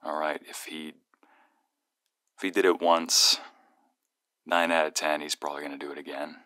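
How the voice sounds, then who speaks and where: A man's voice: very deep voice, level tone, normal speed, pushed and bright, no room sound, clean studio sound. A man playing a playful, protective young male friend who is secretly fond of the listener, in a one-sided ASMR roleplay phone call with teasing, earnest banter. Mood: serious, concerned.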